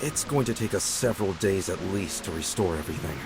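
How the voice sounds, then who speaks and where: A calm, low-toned game companion. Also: male voice, low-quality recording. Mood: explaining. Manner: measured, explanatory. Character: calm, knowledgeable young man companion. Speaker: game character. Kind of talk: video game voice acting. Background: game music.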